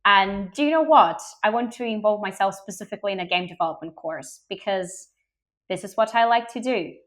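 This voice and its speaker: An enthusiastic young woman from Bulgaria, raised in Greece, talks openly about her work as a game industry recruiter. Her voice sits at a middle pitch, and she fills her pauses with um. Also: a bit bright. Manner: open, enthusiastic, frequent ums. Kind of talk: podcast interview answers.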